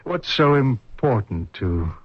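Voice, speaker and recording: An actor playing a grave, cautious hospital doctor in a radio drama, firm and measured. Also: male voice, medium pitch, very animated, slightly rough, dry recording, full character voice. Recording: dull, band-limited vintage radio recording.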